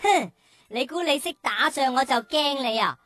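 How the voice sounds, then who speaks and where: A woman's voice: sits high, animated, moderate pace, fairly bright tone, no room sound, soft background music. A woman voicing a mocking, boastful, feisty young calf with a childish, teasing way of talking in a children's radio drama. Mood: boastful, defiant.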